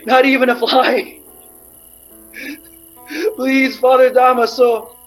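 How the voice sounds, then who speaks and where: An actor playing an anxious, decent Spanish gentleman in a radio drama, pleading and stammering. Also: very high-pitched, flat delivery, slow pace, soft background music. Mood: panicked pleading.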